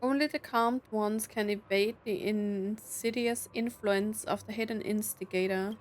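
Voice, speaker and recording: A woman's voice: medium pitch, steady tone, unhurried, a little hiss or hum. A woman game streamer casually commentating and reading game text aloud as she plays.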